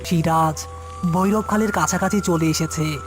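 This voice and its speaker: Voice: medium pitch, a little slow. Scripted horror narrator over music.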